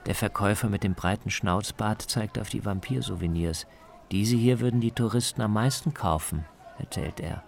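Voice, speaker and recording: A man's voice: lowish voice, moderate pace, creaky. A man reading scripted narration as the reporter of a radio documentary.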